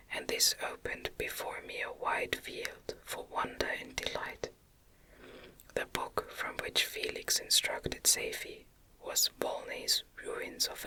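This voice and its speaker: A woman reads a gothic novel as ASMR in a breathy, unvoiced whisper with gentle, deliberate phrasing. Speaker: audiobook reader.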